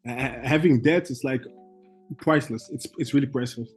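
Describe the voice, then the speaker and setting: A young man from Belgium, a guest trader, telling his story in halting English full of fillers and restarts. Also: medium pitch, breathy edge, soft background music. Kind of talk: casual interview chat.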